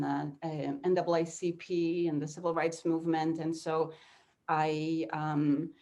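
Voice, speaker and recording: Low, flat-voiced Polish-native woman. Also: highs cut off. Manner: halting, repeats words, earnest. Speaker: philosophy professor. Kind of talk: memorial speech. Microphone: video call.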